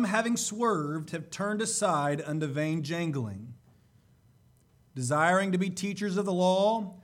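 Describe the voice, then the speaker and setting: A man preaching a sermon and reading scripture, slightly hoarse, with a deliberate, emphatic delivery. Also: fairly high pitch.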